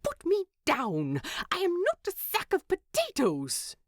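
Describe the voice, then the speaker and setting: A woman's voice: very high voice, wildly swooping pitch, measured pace, no echo, clean studio sound, pitched above the performer's own voice, heavily acted. A woman voicing a grumpy, sleepy, indignant male hobbit who mumbles his complaints in a fantasy storybook. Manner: mumbling, muttering, squawking complaints.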